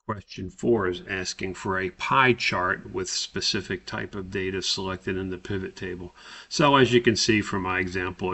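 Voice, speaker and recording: A man with a low, creaky voice walks through a software tutorial step by step. He speaks informally and haltingly, thinking aloud at an unhurried, slow pace. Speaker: tutorial presenter. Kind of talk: informal software screencast walkthrough.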